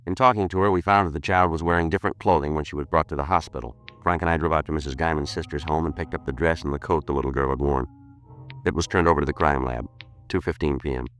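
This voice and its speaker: Unflappable detective: bottom-register voice, quick deadpan delivery, old-time radio noir. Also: male voice, a bass voice. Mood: matter-of-fact. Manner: deadpan, clipped, matter-of-fact. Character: terse, unflappable male police detective narrating his case. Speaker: police detective and narrator. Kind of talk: 1950s radio crime drama, clipped narration.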